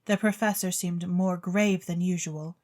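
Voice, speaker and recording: A woman reading a story as a theatrical narrator, with expressive, precise literary delivery. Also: on the low side, measured pace. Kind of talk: theatrical scripted storybook narration for an audio drama. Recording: music bed under the voice. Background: music.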